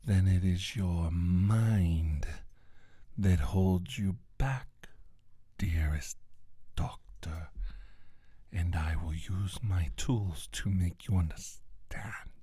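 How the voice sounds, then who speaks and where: Alien reptilian scientist played by a man: low, very slow, husky half-whisper, condescending menace for an ASMR roleplay. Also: mellow, no echo, exaggerated voice. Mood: gloating scorn. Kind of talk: soft-spoken ASMR roleplay monologue.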